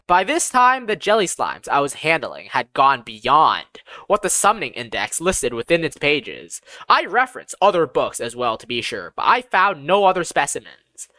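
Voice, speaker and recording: A woman's voice: deep voice, pushed and bright, no room sound. A woman reading a scripted first-person fantasy audiobook as its narrator.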